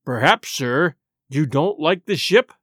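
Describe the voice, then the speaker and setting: A man voicing a blustering, proud gentleman squire who is quick to anger, in a storybook reading. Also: on the high side, expressive, slightly rough, dry recording, clean studio sound, full character voice. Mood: indignant. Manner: bluff, indignant.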